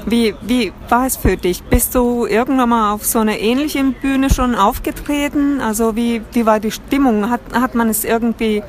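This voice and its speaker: A woman interviewing a guest in a relaxed, chatty way, laughing often between questions. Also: a little high, normal speed, few pauses, slight background noise. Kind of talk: casual interview.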